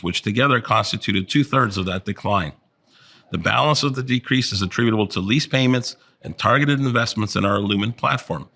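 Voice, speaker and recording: A man with a fairly low voice reads a prepared financial report. His delivery is formal and measured, that of a senior finance executive addressing investors. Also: fairly bright tone. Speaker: chief financial officer presenting results. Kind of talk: scripted financial presentation.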